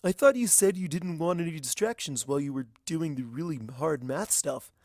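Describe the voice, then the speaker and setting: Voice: mid-range voice, expressive, normal speed, darkish tone, dry recording, clean studio sound, close to an everyday voice. A narrator as an earnest young boy dragon sidekick. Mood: questioning.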